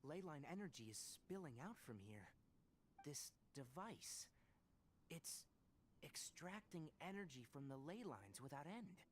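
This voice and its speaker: A calm, careful forest ranger. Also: male voice, a little high, slight background noise. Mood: serious. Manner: steady, instructive, measured. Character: calm young male forest ranger, earnest and cautious. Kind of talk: game dialogue.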